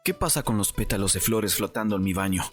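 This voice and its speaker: A man's voice: fairly low pitch, expressive, fast, faint music behind, close to an everyday voice. A man playing a suspicious, embarrassed young nobleman in a dramatized audiobook, questioning and protesting. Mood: puzzled, suspicious.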